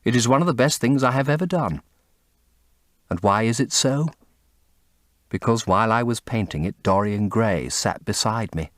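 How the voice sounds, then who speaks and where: A man's voice: on the low side, lively intonation, moderate pace, long pauses, dry recording, lightly acted. A man voicing an earnest, intense painter who speaks in an impassioned, confessional way, as dramatic audiobook acting. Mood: fervent. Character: earnest, intense male painter, devoted and anguished.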